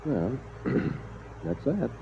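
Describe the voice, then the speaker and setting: A male actor playing a grave, earnest professor in a 1950s radio drama, intense and deliberate in delivery. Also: medium pitch, very animated, vocal fry, mellow, heavily acted. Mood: subdued, brooding. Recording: muffled, hissy 1950s radio broadcast.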